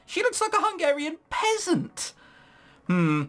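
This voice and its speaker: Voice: extremely high pitch, huge pitch swings, unhurried, fairly bright tone. A let's play host giving casual, sweary, incredulous commentary while reading through a game. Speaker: gaming video host.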